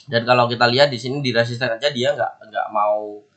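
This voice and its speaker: A man's voice: medium pitch, a little fast, fairly bright tone. Man with a stuffy, nasal cold voice. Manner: chatty, repeats words, friendly asides to viewers. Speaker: forex analysis YouTuber. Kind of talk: casual market commentary to camera.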